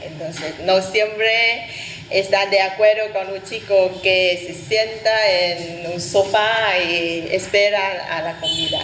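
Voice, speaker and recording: A woman from Vietnam speaking Spanish as a second language, answering interview questions hesitantly, pausing on fillers as she searches for words. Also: medium pitch, steady tone, a bit bright. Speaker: interview guest. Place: Barcelona. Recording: roomy with background noise.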